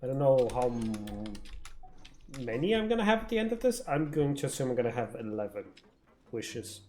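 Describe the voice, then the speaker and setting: A man's voice: medium pitch, big pitch swings, normal speed, darkish tone, a little hiss or hum. A man giving casual live game commentary, musing aloud in a dry, nitpicking way. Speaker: game streamer.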